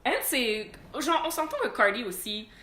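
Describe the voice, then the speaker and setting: Young woman, Quebec French accent, bright mid-pitched voice, easy French-English switching, echoey room, faint ambience. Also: expressive, normal speed. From Montreal. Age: young adult. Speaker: co-host. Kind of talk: casual chat.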